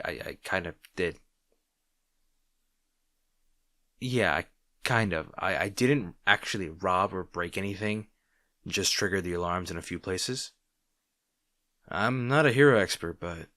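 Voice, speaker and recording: Man playing a low-voiced, grudgingly caring supervillain. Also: dry recording, studio quality, exaggerated voice. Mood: sheepish, defensive. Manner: halting, sighing, exasperated yet soft. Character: grudgingly protective male supervillain rival, sarcastic but caring. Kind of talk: intimate audio roleplay acting addressed to the listener.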